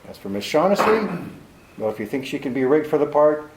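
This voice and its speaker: An actor playing a tough private detective in a 1940s-style radio play, clipped and sardonic. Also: male voice, on the high side, very animated, brisk, roomy sound, background noise.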